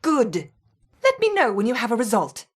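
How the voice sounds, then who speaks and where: A stern female laboratory supervisor in a video game. Her high voice moves up and down a lot, curt and bossy, used to giving orders. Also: wildly swooping pitch, moderate pace, husky, full character voice. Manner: curt, authoritative. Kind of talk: video game character acting.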